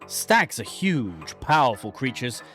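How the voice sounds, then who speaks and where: Guy voicing a brooding anguished wilderness loner in a horror game, dramatic deliberate reading, music behind. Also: medium pitch, sing-song, a bit bright. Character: anguished guilt-ridden man fleeing into the wilderness, brooding.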